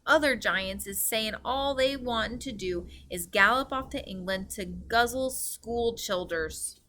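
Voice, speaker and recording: A woman voicing a brutish, scornful male giant in a storybook, shouting in garbled comic grammar. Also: mid-range voice, a little slow. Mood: gloating, scornful.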